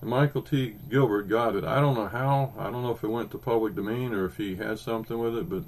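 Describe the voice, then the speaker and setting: A man in his fifties with an Oklahoma accent talks comics on a podcast, his voice a little low. He rambles through stories with frequent ums and restarts, casual and unhurried. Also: a little mellow, slight background noise, poor audio. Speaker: podcast co-host. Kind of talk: casual chat about comics.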